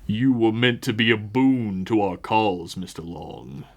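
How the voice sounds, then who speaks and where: A man voicing a huge, calm, menacing cult reverend for a tabletop horror game, with a deep guttural voice and solemn, measured delivery. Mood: cold disappointment. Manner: slow, measured, solemn preaching.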